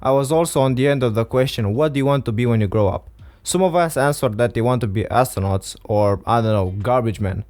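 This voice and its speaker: A man's voice: medium pitch, brisk, darkish tone. A teenage boy from Romania, speaking English as a second language, earnestly giving career advice to viewers in a casual vlog. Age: teens. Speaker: vlogger. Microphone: studio XLR mic.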